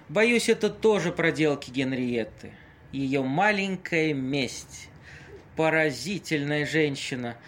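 An actor in an amateur audio play voicing Casanova's haughty, lamenting ghost stuck in a drunken tramp's body, with florid, theatrical speech. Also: male voice, a little high, a bit bright, exaggerated voice.

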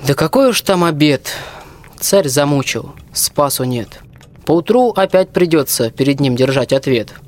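Highish-voiced plain-spoken peasant soldier played by a man. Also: expressive, a little slow. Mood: gloomy, aggrieved. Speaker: student radio-play actor. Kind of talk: rhymed radio play acting. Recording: reverberant hall, music underneath.

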